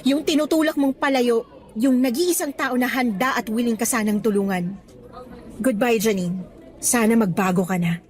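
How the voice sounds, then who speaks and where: Radio drama casting: actress as stern young woman teacher, high voice, exasperated lecturing, soft music bed. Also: moderate pace, lightly acted. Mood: disappointed, reproachful. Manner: stern lecturing, code-switching into English. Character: firm, exasperated young female teacher confronting ex-student. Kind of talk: Tagalog radio drama acting.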